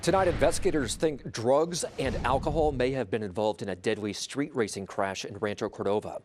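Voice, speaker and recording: A man's voice: mid-range voice, brisk, background noise. A man anchoring a local evening newscast, reading scripted stories and handing off to reporters. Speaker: news anchor.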